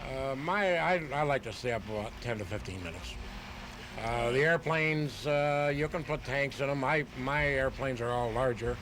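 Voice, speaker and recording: A man's voice: mid-range voice, moderate pace. A man casually explaining his hobby as a radio interview guest, in a matter-of-fact way. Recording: noisy home tape of live radio.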